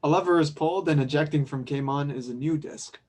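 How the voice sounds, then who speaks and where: A man's voice: a little high, brisk, a little mellow. A man who narrates and directs an amateur audio drama table read.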